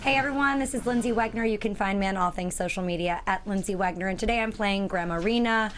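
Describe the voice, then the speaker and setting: A woman's voice: mid-range voice, fast, faint music behind. A woman, an actor, casually introducing herself and her parts at a table read.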